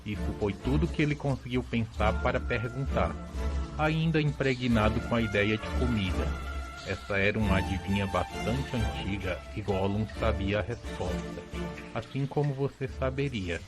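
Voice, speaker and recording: Lowish-voiced man narrating a tale. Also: moderate pace. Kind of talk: scripted storybook narration for audio drama. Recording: music behind the voice.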